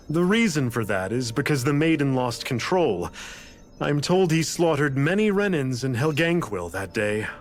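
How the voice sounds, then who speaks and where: An eccentric scientist in a game cutscene. His pitch swoops freely as he lectures, theatrical yet casually detached. Music plays softly behind him. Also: sing-song, measured pace. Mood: smug explaining. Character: eccentric, blithe male scientist, coolly explaining a grand scheme. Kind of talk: scripted video game voice acting.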